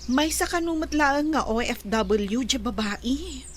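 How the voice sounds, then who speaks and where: A woman's voice: high-pitched, lively intonation, slow pace, heavily acted. A woman acting a concerned young woman friend who confides gossip, in an Ilocano radio drama with an Ilocano accent. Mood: confiding. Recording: faint noise behind the voice.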